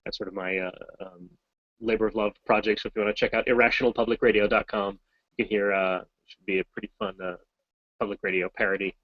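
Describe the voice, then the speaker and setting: A voice actor from Massachusetts answering fan questions in a casual, rambling, self-deprecating way over a video call. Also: male voice, on the low side, level tone, quick pace, dry recording, muffled recording. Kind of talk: casual Q&A chat.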